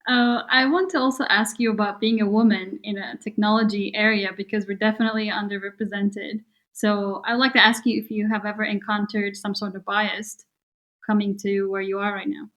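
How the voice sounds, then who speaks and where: Woman, podcast host, mid-pitched, friendly questions with lots of fillers. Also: level tone, fairly quick, fairly bright tone. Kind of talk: casual interview.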